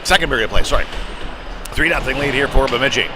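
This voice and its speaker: A man calls a hockey game live as the play unfolds. He speaks in short, clipped fragments at a medium pitch. Clear venue noise runs behind his voice. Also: fast talker. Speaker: play-by-play commentator. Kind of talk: live youth hockey commentary. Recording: noisy rink, clear background noise.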